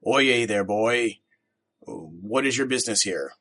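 A man voicing a deep, jovial older partner. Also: expressive, slow, bright, forceful tone, heavily acted. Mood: amused. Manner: amused, jovial, low. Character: genial, heavy-set older businessman, deep-voiced.

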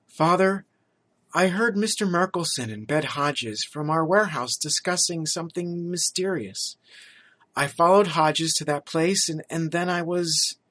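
A man doing a polite, stammering young gentleman. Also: fairly high pitch, a little slow, voice pushed up. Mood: apologetic. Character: earnest, apologetic young gentleman, the son.

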